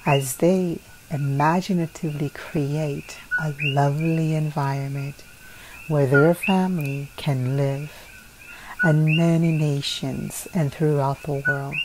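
A woman reading a formal declaration aloud in a solemn, measured way, with occasional slips and restarts. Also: low-pitched. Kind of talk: earnest read-aloud declaration. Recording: noisy home recording.

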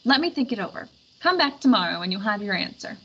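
A woman's voice: medium pitch, sing-song, fast talker, fairly bright tone, slightly rough, heavily acted. A woman reading the part of a sceptical, authoritative male detective boss in a storybook. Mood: decided. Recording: dull lo-fi recording with background noise.

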